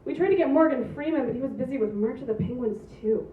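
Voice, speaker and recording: A woman's voice: high-pitched, fast talker, distant mic, close to an everyday voice. Woman playing blunt young producer, tinny and echoey. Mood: businesslike, doubtful. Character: exasperated young female movie producer, blunt and impatient. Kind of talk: amateur script table read.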